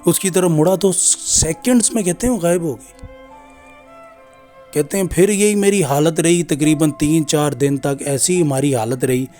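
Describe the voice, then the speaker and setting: A man's voice: fairly high pitch, normal speed, mellow. A man informally retelling a listener's scary story as a narrator, in a conversational way with repeated reporting phrases. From Pakistan. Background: music.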